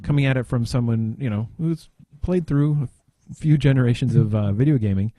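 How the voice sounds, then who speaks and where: A man's voice: medium pitch, fairly quick, mellow, no echo. A man chatting casually on a podcast, hesitant and searching for his words. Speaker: podcast panelist. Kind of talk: casual chat.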